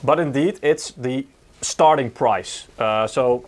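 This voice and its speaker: A man's voice: medium pitch, slow pace. A man co-hosting a live stream, explaining at length in a casual, hedging way with many fillers. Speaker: co-host.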